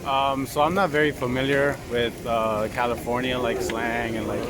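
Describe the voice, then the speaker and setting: A man's voice: mid-range voice, steady tone, moderate pace, a crowd in the background. A young man from Mexico, talking casually in a street interview and filling his speech with "like" and "um". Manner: rambling, many "like" fillers. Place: outdoors on a city street. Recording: street noise behind.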